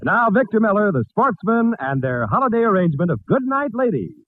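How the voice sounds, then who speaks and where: A man's voice: sits high, very animated, a little fast, loud music behind the voice. A man announcing a scripted 1940s radio advertisement with a polished, emphatic sales delivery. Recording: muffled narrowband old radio broadcast.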